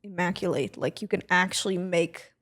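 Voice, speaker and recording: A woman in her twenties from Israel, co-hosting a casual podcast and chatting in a relaxed way with many fillers. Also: fairly low pitch, normal speed. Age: 20s. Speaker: podcast co-host.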